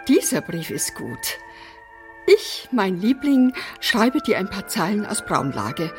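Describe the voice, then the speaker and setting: Brash, bossy woman, wildly animated delivery. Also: medium pitch, slow, faint music behind. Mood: flippant, defiant. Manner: theatrical, flippant, defiant. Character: brash, eccentric, bossy female apartment resident opening others' letters. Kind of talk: German radio drama acting.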